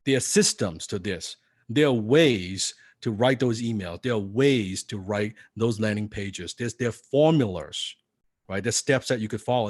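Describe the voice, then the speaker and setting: A man's voice: mid-range voice, dry recording, clean studio sound. A man teaching copywriting in a live seminar, non-native in English, firing rhetorical questions at the audience. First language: not English.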